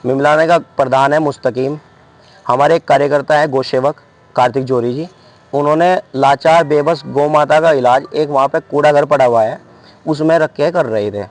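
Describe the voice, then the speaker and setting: A man's voice: a little high, moderate pace. A man with a western Uttar Pradesh accent, an activist speaking off the cuff to lay out a complaint. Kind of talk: spontaneous spoken complaint.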